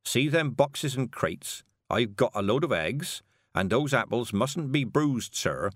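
A humble male carter from a storybook, voiced by a man narrating. He speaks slowly and hesitantly, with wary deference to his betters, in a plodding manner and a middling pitch. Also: expressive, dry recording. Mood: meekly reproachful.

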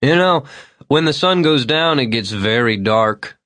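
A man's voice: medium pitch, lively intonation, measured pace, fairly bright tone, dry recording, voice pushed up. A man voicing a drunk, disheveled middle-aged man who rambles, slurs and swings between overly polite and maudlin. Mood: rambling, maudlin. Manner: slurred, wandering, overly polite then maudlin.

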